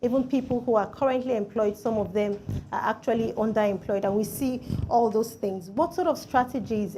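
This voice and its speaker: A woman, politely putting formal questions as a moderator, often pausing and saying "you know". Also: a little high, warm tone, a little hiss or hum.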